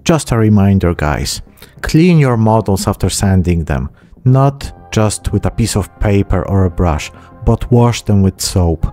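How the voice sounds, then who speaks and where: A man's voice: on the low side, very animated, a little slow, mellow, faint music behind. A man in his thirties giving a casual, self-deprecating narrated hobby tutorial, recorded close on a desk microphone in a small room. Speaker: host of miniature painting channel. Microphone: close desk microphone with pop filter.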